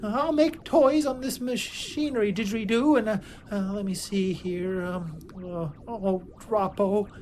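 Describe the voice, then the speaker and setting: A woman's voice: medium pitch, a little slow, few pauses, a little mellow, slight background noise, natural voice. A woman playing a goofy, eager, simple-minded Martian helper in a comic audio drama. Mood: muttering to self.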